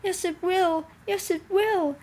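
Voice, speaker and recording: A woman's voice: very high-pitched, steady tone, takes it slowly, a little mellow, pitched above the performer's own voice. A woman voicing mischievous goods trucks in a storybook, chanting in repeated exclamations. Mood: groaning, sorry. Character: mischievous goods trucks, teasing, later groaning and sorry. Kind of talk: storybook character voice.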